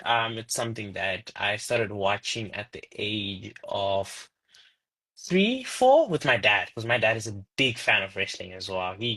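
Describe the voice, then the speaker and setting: A man in his twenties from Zimbabwe, talking as a guest in a casual interview. His voice is bright and forceful, and he tells stories with animated jumps in pitch. He sounds like he is on a video call. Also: low-quality recording. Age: 20s.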